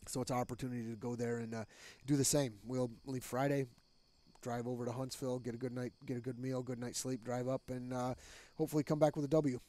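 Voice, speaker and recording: A man's voice: mid-range voice, flat delivery, fast talker, mellow. A middle-aged man, a head soccer coach, talking candidly in a postgame interview through a headset mic in a small studio. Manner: candid, conversational, with frequent fillers. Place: small studio with green backdrop.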